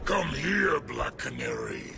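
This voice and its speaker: An actor voicing a raging, savage alien warrior monster with a gravelly voice in a video game. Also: male voice, mid-range voice, huge pitch swings, takes it slowly, full character voice. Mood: savage menace. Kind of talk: menacing video game villain acting. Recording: loud music over the voice.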